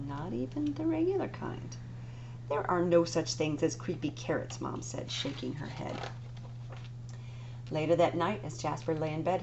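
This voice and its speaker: A woman librarian reading a picture book aloud, dramatic and dropping to whispers for the spooky parts. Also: on the low side. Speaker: children's librarian. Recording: dull lo-fi recording with noise.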